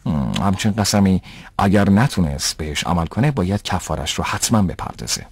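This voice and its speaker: A man playing a patient, pious father who explains rules in a measured, didactic way in an educational cartoon. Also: low voice, lively intonation, husky, no room sound, full character voice. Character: patient, pious father teaching his son religious rules. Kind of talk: dubbed educational cartoon dialogue.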